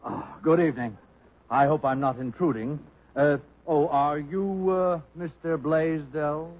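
Courteous, husky-voiced attorney. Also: male voice, on the high side, measured pace. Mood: polite, hesitant. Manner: hesitant, courteous, formal. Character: polite, formal male trust-company attorney. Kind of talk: 1950s radio comedy acting. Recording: muffled old radio broadcast.

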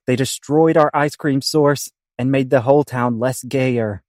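A man's voice: mid-range voice, a little slow, a little mellow, no room sound. Man narrating theatrically, dry close sound. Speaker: narrator of a comic audio play. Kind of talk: theatrical rhyming scripted narration.

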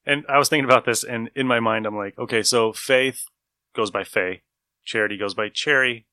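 A man hosts an informal podcast chat in a conversational way. His voice is mid-range and bright, captured dry and free of room echo. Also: medium pitch, normal speed. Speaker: podcast host. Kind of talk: casual chat.

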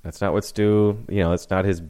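A man's voice: fairly low pitch, flat delivery, a little fast, dark tone, clear, resonant tone. A man hosting a casual podcast chat, joking, laughing and swearing easily. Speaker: podcast host.

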